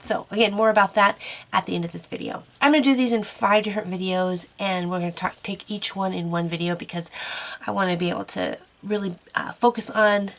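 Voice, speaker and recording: A woman's voice: mid-range voice, fairly even intonation, brisk. A woman hosting an art tutorial, chatty and encouraging with lots of fillers, her nose stuffy from a cold. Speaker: art channel host.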